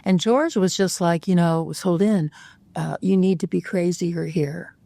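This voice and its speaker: A woman's voice: on the low side, a little slow, gravelly, mellow, dry recording. An older woman, an actress guest, chatting casually in an interview, rambling with many fillers and restarts.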